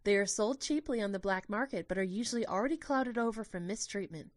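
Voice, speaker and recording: A woman voicing a gentle, petite female tour guide who explains things politely to a group, in an audio drama. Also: mid-range voice, fast, dry recording, studio quality, voice pushed up, close to an everyday voice. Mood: pleasant. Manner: explanatory, polite public address.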